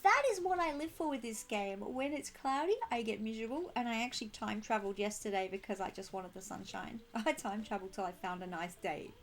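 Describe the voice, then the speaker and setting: A woman with a slightly croaky throat chatting casually and bubbly to viewers while live streaming a game, affectionate and quick to giggle. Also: on the high side. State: croaky throat, needs clearing. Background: music.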